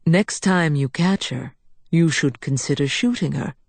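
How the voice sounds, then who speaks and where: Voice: medium pitch, measured pace, gravelly, no room sound. A reader voicing a composed, polished male henchman with a British boarding-school accent, speaking formally. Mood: cool, formal. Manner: formal, clipped.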